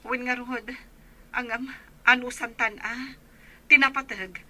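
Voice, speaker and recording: High, bright anxious daughter played by a woman. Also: fairly even intonation, measured pace. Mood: subdued, apologetic. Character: anxious adult elder daughter, dutiful and urgent. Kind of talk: audio drama acting.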